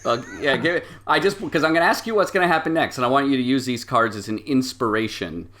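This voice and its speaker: A man acting as game master, narrating a story game and reading card meanings aloud in a conversational way with hesitant pauses. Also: mid-range voice, animated, sharp tone. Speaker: game master of tabletop role-playing show.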